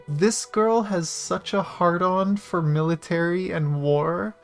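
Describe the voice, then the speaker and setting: A woman's voice: on the low side, fairly even intonation, slow pace. A woman giving casual, joking and blunt commentary as she livestreams a game. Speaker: game streamer. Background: music behind the voice.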